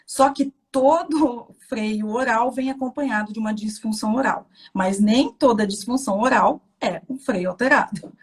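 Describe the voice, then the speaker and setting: A Brazilian woman with a fairly high, somewhat husky voice gives an informal lesson as a specialist. She talks conversationally and haltingly, often adding small tags to check she is understood. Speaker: guest breastfeeding specialist. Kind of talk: informal educational explanation.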